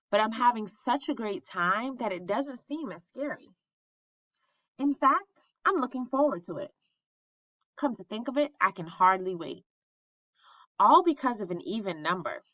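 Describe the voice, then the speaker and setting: A woman reading a children's picture book aloud like a teacher, with expressive, animated and instructive storytelling. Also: fairly high pitch, a little slow. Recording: roomy, slightly muffled sound.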